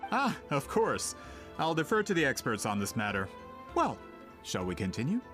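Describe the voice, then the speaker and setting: An actor playing a courteous, earnest scholar leading an expedition in an anime video game. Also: male voice, medium pitch, huge pitch swings, moderate pace, fairly bright tone, soft background music. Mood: polite, focused.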